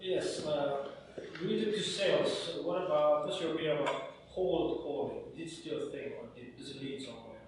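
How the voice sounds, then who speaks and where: A man's voice: fairly high pitch, normal speed. A man in the audience asking the panel a question, hesitant and rephrasing as he goes. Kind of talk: question from the floor. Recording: echoey hall, speaker far from mic, noise.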